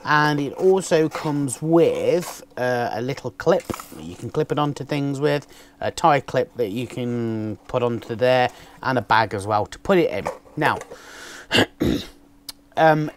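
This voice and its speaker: A man, casually chatting through an unboxing, with a naturally loud voice and a rambling way of talking full of ums. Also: medium pitch. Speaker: motovlogger reviewing gear. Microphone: external microphone on a camera.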